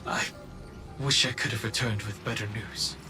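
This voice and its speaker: An actor playing a solemn, guarded young male guard in a video game, speaking in a grave, formal and measured way. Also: fairly low pitch, steady tone, close to an everyday voice. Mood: grave, weary. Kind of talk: dramatic video game voice acting. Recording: game dialogue over clear background music.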